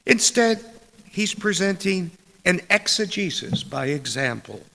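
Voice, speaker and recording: A man reads a sermon very slowly, breaking it into deliberate phrases with pauses. His voice is strongly gravelly, with a sharp, forceful edge. Long reverb trails his words, as in a large church. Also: high-pitched, big pitch swings. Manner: deliberate, measured, broken into short phrases with pauses. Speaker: preacher reading a sermon. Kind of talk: scripted sermon read aloud.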